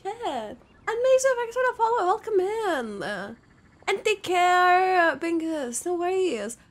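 A woman's voice: very high-pitched, sing-song, unhurried. A woman streaming a video game and reacting casually as she plays.

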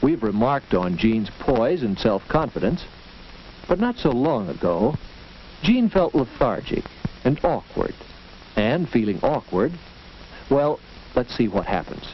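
An American man narrating a scripted 1940s educational film in a measured, instructive storytelling manner, on an old film soundtrack. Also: mid-range voice, big pitch swings. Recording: dull, noisy, clicking 1940s film soundtrack.

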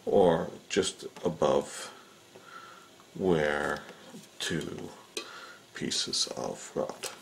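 A man with an extremely low, gravelly voice walks through a hands-on repair at an indoor workbench. He talks slowly and unhurriedly, pausing often with "uh" and "um" as he works. A faint hiss of room noise sits under his voice. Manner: unhurried, with frequent "uh" and "um" fillers. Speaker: fishing rod repair instructor. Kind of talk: casual how-to demonstration.

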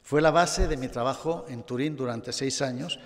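An older man from Catalonia giving a formal award acceptance speech in a measured manner with touches of humor. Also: medium pitch. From Catalonia, Spain. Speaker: award recipient. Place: large gala hall. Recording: long reverb of a hall.

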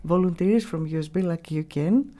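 A middle-aged woman with a Greek accent, giving halting, unscripted interview answers as she searches for words. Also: lowish voice, warm tone. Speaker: radio station staff member, interviewee. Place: small room, radio studio. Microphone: studio mic on boom arm.

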